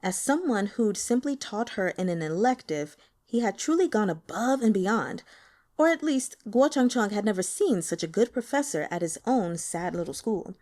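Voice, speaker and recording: A woman reading a novel aloud as an audiobook narrator, with expressive, dramatic storytelling. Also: medium pitch, normal speed. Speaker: audiobook reader.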